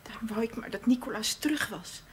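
A woman's voice: on the high side, fairly quick, a little hiss or hum, natural voice. An actress playing a worried woman in a 1970s television drama, speaking anxiously.

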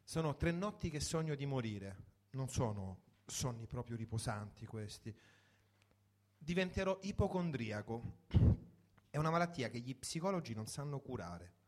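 A man's voice: medium pitch, very animated, moderate pace. A man reading a first-person story for radio in a reflective, wry manner, in short sentences. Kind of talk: dramatic literary reading for radio.

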